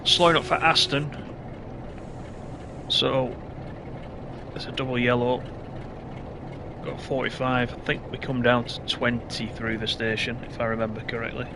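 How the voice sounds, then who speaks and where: A man casually commenting on his train game while streaming, reading out and answering chat comments, his voice a bit hoarse. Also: mid-range voice, level tone, measured pace, long pauses, background noise. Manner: chatty, reads out chat comments. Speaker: live streamer.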